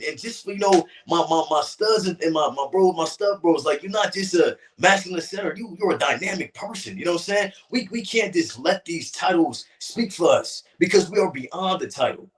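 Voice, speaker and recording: Earnest man, fairly high for a man, a bit off-mic; conversational interview guest. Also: recorded from a distance, poor audio. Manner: earnest, repeats words at starts, says "you know what I'm saying". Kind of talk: casual interview talk and poetry reading.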